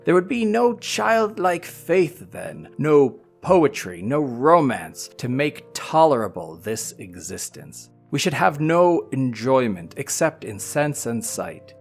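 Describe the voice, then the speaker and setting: A man giving a theatrical, emphatic dramatic reading of a classic letter, with drawn-out pauses. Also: medium pitch, sing-song, vocal fry, soft background music. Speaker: reader in a theatre company's audio series.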